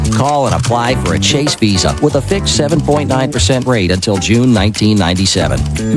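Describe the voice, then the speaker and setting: A man announcing a persuasive scripted commercial. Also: lowish voice. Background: loud music.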